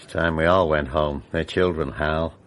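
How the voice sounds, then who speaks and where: An actor in a radio drama playing a brash, hearty movie director who talks in an expansive, commanding and informal way. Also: male voice, rumbling low, fairly even intonation, normal speed, fairly bright tone. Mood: low-key, serious. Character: brash, hearty male movie director, bossy yet genial.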